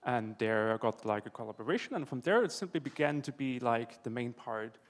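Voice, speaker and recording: A man's voice: medium pitch, expressive, a little fast. A man with an Austrian accent, a native German speaker, talking casually as a guest in a live interview. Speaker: interview guest, video game music composer. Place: indoor event hall before an audience. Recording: reverberant hall.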